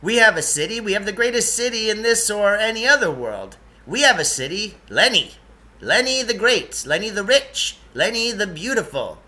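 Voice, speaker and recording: A man acts out a fussy, jumpy male guide from another world in a storybook. His voice is pitched up and very bright, swinging widely. He stammers, then turns emphatic and proud. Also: big pitch swings, normal speed, full character voice. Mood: proud, indignant.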